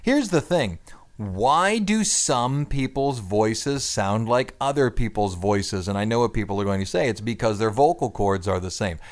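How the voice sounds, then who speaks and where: A man hosting a conversational radio talk show with a radio announcer's deep voice, explaining and bantering in an opinionated way. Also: lively intonation, a little slow.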